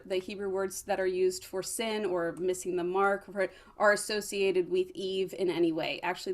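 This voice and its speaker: Woman explaining as an academic panelist. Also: medium pitch. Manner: earnest, explanatory, checks in with "right?". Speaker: academic panelist, religion lecturer. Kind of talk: informal academic panel talk.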